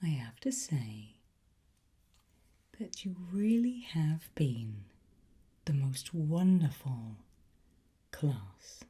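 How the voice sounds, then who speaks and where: A woman performs an ASMR roleplay in a very breathy, mostly whispered voice. She speaks extremely slowly and low, pausing like a patient teacher. Her coaxing delivery carries the soft menace of a sly demon. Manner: slow, coaxing, teacherly with pauses.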